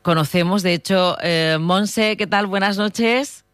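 Radio host, conversational interviewer, mid-pitched, dry close recording, questions formed with some repeated words. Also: takes it slowly, fairly bright tone, clean tone, no room sound.

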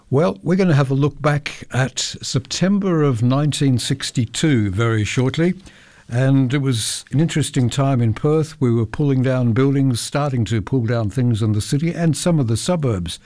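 A man's voice: mid-range voice, warm tone, no echo. An Australian man presenting a radio show, chatting between songs and telling local history stories in a casual, anecdotal way from a studio mic. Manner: chatty, anecdotal, occasional self-corrections. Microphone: close studio microphone.

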